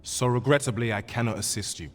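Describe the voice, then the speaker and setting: An actor playing a courteous guild clerk in a fantasy game, speaking formally and apologetically in old-fashioned phrasing. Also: male voice, mid-range voice, fast, faint music behind, lightly acted. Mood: apologetic, formal. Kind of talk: scripted fantasy game dialogue. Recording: long hall reverb, noisy ambience behind.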